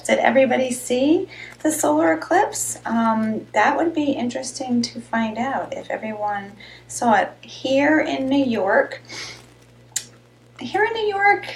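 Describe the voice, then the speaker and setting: A woman with a raspy, slightly hoarse voice chats loosely with viewers on a live stream. Her voice sits a bit high and turns gravelly as it drops. The room around her is echoey. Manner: chatty, rambling, greets viewers by name. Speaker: host of a live health show. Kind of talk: casual live-stream chat.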